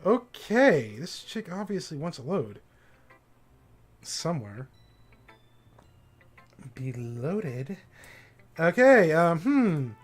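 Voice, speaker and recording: Higher-pitched man streaming a game over music. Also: big pitch swings, drawn-out words, pauses often. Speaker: livestreamer playing a visual novel. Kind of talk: casual playthrough commentary, reading game text aloud.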